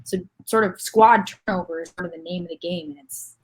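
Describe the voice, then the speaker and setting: A woman's voice: fairly low pitch, fairly even intonation, a little fast, poor audio. A woman co-hosting a soccer fan podcast, talking through ideas in long, winding explanations. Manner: long thoughtful run-on explanations. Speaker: podcast co-host. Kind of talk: casual analytical chat.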